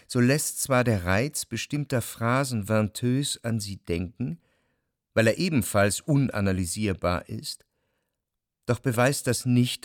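An actor playing an introspective, doubting gentleman who narrates his love in a literary radio drama. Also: medium pitch, no echo, studio quality, natural voice. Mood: brooding. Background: music.

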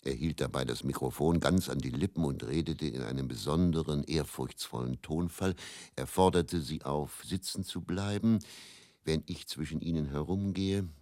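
A bitter old-school travelling quack healer with an extremely deep voice, recorded close and dry. He broods and muses in theatrical radio play monologue, repeating words as he goes. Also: moderate pace, a little mellow, no echo. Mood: wry, reminiscing. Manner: brooding, musing, repeats words, theatrical shifts between murmur and outburst. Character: bitter, world-weary travelling faith healer, self-confessed quack.

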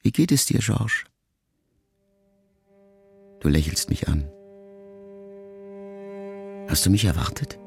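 A middle-aged man reading a literary memoir aloud in a measured, reflective first-person voice. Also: low voice, sing-song, vocal fry, faint music behind. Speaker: audiobook narrator. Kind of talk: scripted literary narration.

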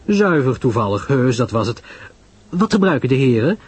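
A man playing an affable, modest English gentleman in an audio drama, with a light, breezy, sociable manner. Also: mid-range voice, sing-song, moderate pace, slightly rough. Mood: breezy, amiable.

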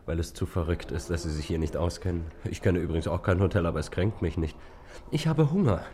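A man's voice: low voice, fast talker, warm tone, a little hiss or hum, lightly acted. An actor in a German radio play as a reserved, wry male traveler with a dry, ironic manner. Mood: amused, impulsive.

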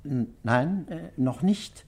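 A man's voice: a little high, lively intonation, slow, warm tone, slightly rough, heavily acted. An actor playing a frail, distraught middle-aged father who feels ill and answers with evasive, apologetic stammering in a radio play. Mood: flustered, faltering. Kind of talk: radio drama acting.

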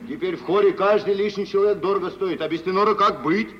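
An actor playing a fussing, woeful choir singer, eager to please, lamenting in broken, repetitive phrases in an old radio drama. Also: male voice, sits high, quick pace, distant mic, close to an everyday voice. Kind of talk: mid-century Russian stage drama acting for radio. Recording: dull, reverberant old radio recording, faint noise.

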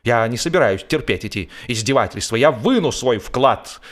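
A man's voice: medium pitch, expressive, normal speed, fairly bright tone, lightly acted. A man voicing an indignant holiday boater in a humorous audiobook, ranting in exasperated rhetorical questions. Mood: aggrieved grumbling. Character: indignant, grumbling, blustering male holiday boater.

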